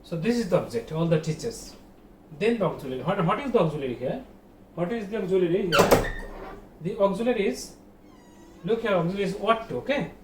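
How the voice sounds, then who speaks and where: A man's voice: sits high, expressive, brisk, long pauses. A male school teacher with a Bangladeshi accent gives an online grammar lesson in a didactic, repetitive way, asking questions and answering them himself. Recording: roomy echo, distant mic, faint noise.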